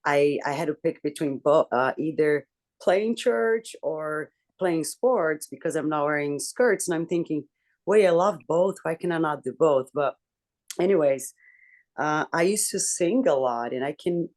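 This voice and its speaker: A woman with a deep voice, earnestly telling a personal story to her book club over a video call. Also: lively intonation, measured pace, darkish tone. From Brazil. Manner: earnest, candid, reflective storytelling. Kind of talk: personal story in a group discussion.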